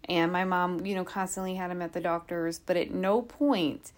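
A woman's voice: lowish voice, fairly even intonation, moderate pace. A woman hosting a podcast, telling a true crime case in an informal, conversational way with asides and rhetorical questions. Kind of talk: conversational storytelling from notes.

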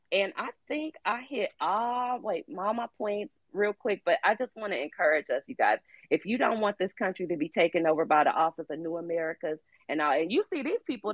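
A woman's voice: on the high side, dry recording, muffled recording. A woman speaking in an online audio room, making an urgent, rallying political appeal. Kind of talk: political talk.

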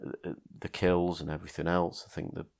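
A man's voice: deep voice, little pitch movement, a little fast, vocal fry, darkish tone. A man hosting a casual film podcast, talking in a hesitant way with many ums and restarts. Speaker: podcast host.

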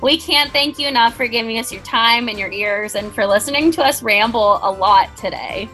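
A woman's voice: fairly high pitch, moderate pace, bright, forceful tone, soft background music. A woman, co-hosting a casual podcast in a chatty, self-deprecating way. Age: 20s. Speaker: podcast co-host.

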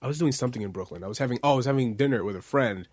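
A man's voice: mid-range voice, very fast, no room sound, studio quality. Laid-back young male podcast host. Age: 20s. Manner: relaxed, plain, a bit scattered. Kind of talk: casual podcast chat.

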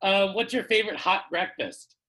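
A podcast host asking questions in a playful, laughing, animated way. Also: mid-range voice, moderate pace, rough recording. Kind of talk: casual conversational interview.

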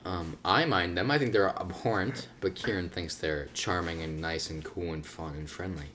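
A man's voice: deep voice, normal speed, fairly bright tone, slight background noise. A young man co-hosting a casual podcast with friends, rambling and laughing a lot. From United States. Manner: laughs often, jokey, rambling. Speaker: podcast co-host. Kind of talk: casual chat among friends.

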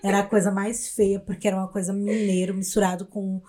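A woman's voice: medium pitch, flat delivery, fast, dark tone. A woman from in Brazil from that state, co-hosting a podcast and telling anecdotes in casual, slangy talk. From Brazil, Minas Gerais. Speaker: podcast co-host.

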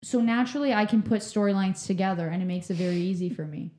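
Raspy-voiced woman chatting, echoey room. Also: mid-range voice, fast talker, warm tone. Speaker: podcast co-host and tech person. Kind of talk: casual chat.

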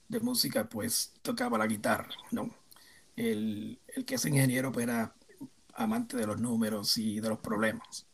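A man in his fifties with a Puerto Rican accent speaks as an interview guest, thoughtful and hesitant, filling pauses with "eh". His mid-pitched voice has a slightly husky edge. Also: low-quality recording. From Puerto Rico. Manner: reflective, hesitant, many fillers. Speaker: guest, theme park designer. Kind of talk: casual interview answers.

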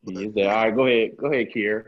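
A man on a podcast, hyping up a friend with quick interjections in casual chat. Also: fairly low pitch, fairly even intonation, highs cut off. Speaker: podcast co-host.

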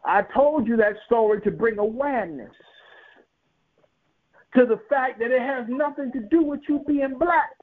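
A man preaching a sermon, building emphatic, rhythmic repetitions and rising to sharp peaks. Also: very high voice, measured pace, pauses often. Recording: tinny phone-like radio broadcast.

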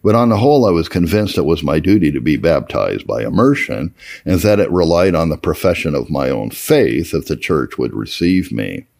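A man with a low-pitched, creaky voice narrates a historical first-person account. His delivery is formal and measured, with lively rises and falls in pitch that give the old prose expression. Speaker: audiobook-style reader of historical religious texts. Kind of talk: scripted narration, reading an old first-person memoir aloud.

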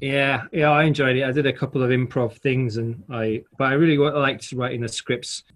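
A man's voice: medium pitch, fairly even intonation, brisk. Wry Yorkshire man in his forties. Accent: Yorkshire English. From Yorkshire, England. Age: 40s. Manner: self-deprecating, rambling with wry asides. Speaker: guest. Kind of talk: casual interview chat.

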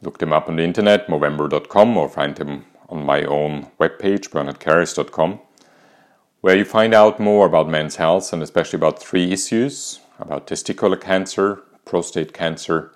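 A man in his fifties with an Austrian accent, hosting a podcast and calmly telling a candid personal story. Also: sits low, normal speed. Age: 50s. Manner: calm, reflective, candid. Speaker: podcast host.

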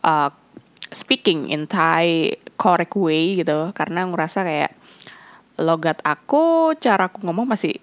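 A woman's voice: fairly low pitch, expressive, unhurried, smooth, dry recording. A woman from Indonesia in a casual chat, switching between Indonesian and English, hesitant and full of fillers and restarts. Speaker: fan sharing her experience.

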